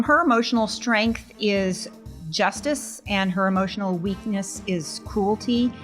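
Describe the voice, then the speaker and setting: A woman speaking casually as a tabletop role-playing player, introducing the character she plays. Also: mid-range voice.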